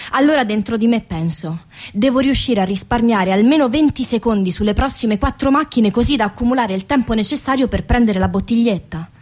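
A woman's voice: medium pitch, a little mellow, lightly acted. An actress playing a weary woman who works on a car assembly line, narrating a radio theatre monologue in short clipped phrases. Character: weary female car factory assembly-line worker telling her story.

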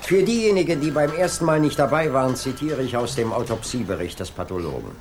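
A man's voice: mid-range voice, expressive, quick pace, a little hiss or hum, close to an everyday voice. A man playing a middle-aged police inspector in a 1980s German radio drama, authoritative and dryly sardonic. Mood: brisk, commanding. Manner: commanding, dry, sardonic. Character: brisk, authoritative male police inspector leading a murder case.

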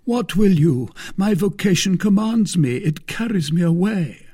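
A fervent young gentleman acted out by a male reader in a storybook tale. His voice is pitched high and swings dramatically, with a slightly husky grain. He declaims every phrase in a theatrical, lovelorn way. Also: animated, unhurried, heavily acted. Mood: gloomy, solemn. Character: melodramatic lovesick young gentleman, fervent and sighing. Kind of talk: acted dialogue in a storybook reading.

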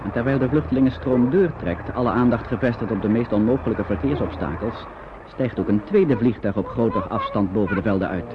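A man narrating a Dutch radio play in a measured, descriptive storytelling style. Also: mid-range voice, lightly acted. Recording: muffled, noisy old radio recording.

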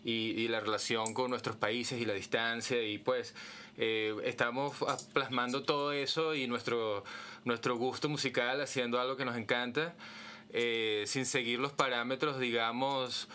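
A man from Caracas, chatting in an interview and often pausing to search for words. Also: medium pitch, fairly even intonation, bright, forceful tone, clear, resonant tone. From Caracas, Venezuela. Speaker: guitarist, band guest. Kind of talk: casual interview chat.

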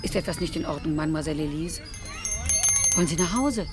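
A woman's voice: low-pitched, fast, music in the background, natural voice. An actress playing a chatty, fussy, motherly caretaker in a radio play.

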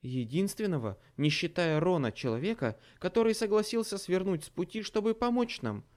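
A cold, steady young woman, read by a male narrator: quiet, level delivery, slightly higher than his own voice, animated inflection. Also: moderate pace. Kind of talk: audiobook character voice.

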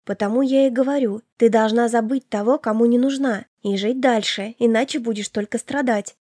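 A woman's voice: fairly high pitch, steady tone, fairly quick, dark tone, dry recording, clean studio sound, close to an everyday voice. A woman voicing a caring, protective elder sister in her twenties in an audiobook, firm, reassuring and motherly. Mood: persuasive. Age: 20s. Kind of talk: audiobook character dialogue.

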